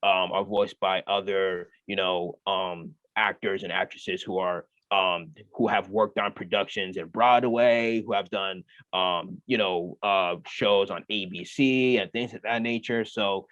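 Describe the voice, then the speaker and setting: A man's voice: low-pitched, measured pace, dry recording. A young man, a comedian, answering interview questions casually with many "uh"s and "you know"s. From United States. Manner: relaxed, lots of fillers like "uh" and "you know". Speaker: comedian guest. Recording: muffled dry remote audio.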